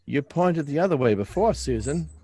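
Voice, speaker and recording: A man's voice: a little high, lively intonation, normal speed, dark tone, slightly rough. A man reading the part of an observant, insistent schoolboy in a radio play, with an earnest manner. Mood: curious. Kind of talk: live radio drama script reading.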